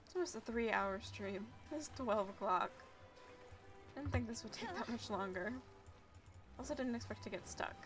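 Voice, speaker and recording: A woman's voice: a little high, normal speed, long pauses, fairly bright tone, faint music behind. A young woman giving casual live commentary as she streams a game, sarcastic and self-mocking.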